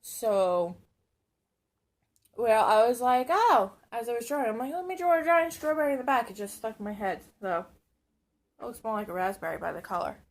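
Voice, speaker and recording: A woman talking to her camera in a hoarse voice that sits a little high. She rambles along casually and pokes fun at herself. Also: very animated, moderate pace. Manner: chatty, rambling, self-mocking. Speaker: vlogger. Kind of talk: casual vlog chat. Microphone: camera on a stand.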